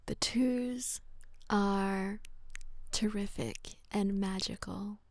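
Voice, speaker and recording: A woman leading a slow, soothing guided relaxation in a soft, breathy, often near-whispered voice, gentle and unhurried with long pauses. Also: medium pitch, steady tone, no room sound. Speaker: guided meditation narrator.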